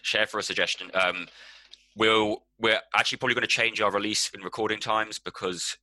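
Low-voiced man chatting casually. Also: steady tone, fairly quick, sharp tone, dry recording, poor audio. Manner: rambling, sweary, many ums. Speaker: podcast co-host. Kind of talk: casual music podcast chat.

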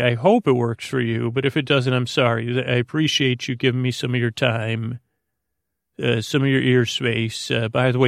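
A man hosting a podcast with a rambling bedtime monologue, halting and digressive, goofy and self-deprecating as he talks listeners toward sleep. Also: mid-range voice, vocal fry, no echo, clean studio sound. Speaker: podcast host. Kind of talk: rambling, meandering bedtime monologue to help listeners fall asleep.

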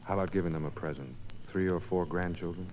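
An actor playing an eager, boyish young student in love, in 1950s radio comedy style. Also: male voice, low voice, fairly even intonation, fast, a little mellow, natural voice. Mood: lovestruck. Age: young adult. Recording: muffled, noisy 1950s radio broadcast.

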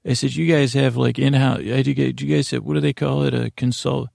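Very gravelly, meandering male podcast host. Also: mid-range voice, fairly even intonation, a little mellow, no echo, clean studio sound. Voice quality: gravelly, creaky. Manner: meandering, halting, self-interrupting. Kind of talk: rambling improvised podcast monologue.

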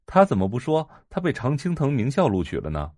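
A man's voice: mid-range voice, wildly swooping pitch, moderate pace, mellow, no room sound, studio quality. An actor playing an arrogant, scornful teenage boy in an audio drama, with a mocking manner. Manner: sneering, mocking.

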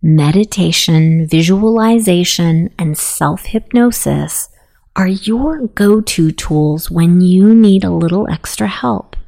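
A woman leading a guided meditation in a soothing, hushed manner with long pauses. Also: fairly low pitch, vocal fry. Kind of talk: guided meditation, self-hypnosis narration.

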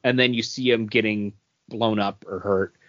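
A man's voice: on the low side, fairly even intonation, normal speed, fairly bright tone, no echo, lo-fi sound. A man co-hosting a podcast, chatting casually and bluntly giving his opinions. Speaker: podcast co-host. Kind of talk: casual comics and film chat.

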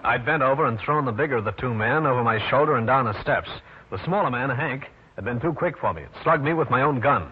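An actor with an American accent playing a wry, tough private detective in an old-time radio drama, wisecracking and hard-boiled. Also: male voice, mid-range voice, quick pace, hardly any pauses, husky. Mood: wry, narrating. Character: wry tough New York private detective, adult man. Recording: dull, noisy old radio recording.

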